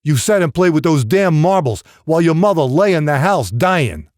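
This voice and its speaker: A man's voice: a little high, big pitch swings, moderate pace, a little mellow, dry recording, clean studio sound, pitched above the performer's own voice. A man voicing a harsh, contemptuous father berating his young son in an audiobook, scornful and accusing. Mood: scornful anger. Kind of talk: audiobook character dialogue.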